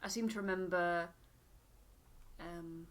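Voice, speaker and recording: A woman's voice: on the low side, fairly even intonation, takes it slowly. A woman chatting casually as co-host of a video game playthrough.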